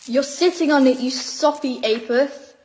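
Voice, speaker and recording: A woman's voice: sits high, unhurried, a little mellow, slight background noise. An actress playing a plucky, determined schoolgirl in an audio drama, speaking in a matter-of-fact, decisive way. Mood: brisk, defiant. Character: plucky, determined schoolgirl who dreams of sculpting.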